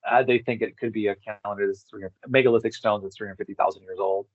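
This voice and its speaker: A man chatting casually as an interview guest, halting and informal, often repeating words for emphasis. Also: on the low side, fairly even intonation, no echo, highs cut off. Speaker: interview guest, tour organizer. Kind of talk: casual rambling conversation.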